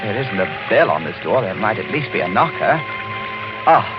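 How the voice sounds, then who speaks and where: An actor playing an anxious, polite young lord in a radio horror drama. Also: male voice, on the low side, very animated. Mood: curious, uneasy. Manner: brisk, inquisitive, well-bred. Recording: muffled old radio, music behind.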